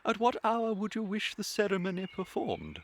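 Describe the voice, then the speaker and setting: A man's voice: high-pitched, wildly swooping pitch, slight background noise. An actor playing a fussy, kindly middle-aged English clergyman in a radio comedy, pompous and unctuous in manner. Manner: unctuous, deliberate, sermonising. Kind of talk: period stage comedy radio acting.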